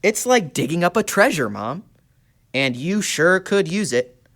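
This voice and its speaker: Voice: medium pitch, very animated, measured pace, a bit bright. A performer playing an eager, good-hearted schoolboy in a puppet show, speaking in an earnest, boyish way. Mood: sheepish. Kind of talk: church puppet show dialogue.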